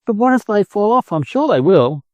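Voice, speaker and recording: A man's voice: sits high, sing-song, moderate pace, no echo, voice pushed up. A man voicing an anxious, fretful mother hen in a storybook reading. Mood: worried.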